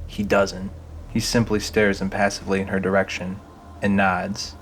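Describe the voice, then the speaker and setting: A man's voice: low-pitched, level tone, normal speed, slight background noise. A man narrating a scripted audio drama.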